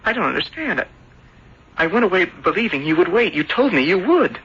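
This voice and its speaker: An actor playing an earnest, lovesick young seafarer back home, speaking haltingly in radio drama style. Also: male voice, high-pitched, big pitch swings. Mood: hurt, agitated. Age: young adult. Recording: dull, noisy old radio broadcast.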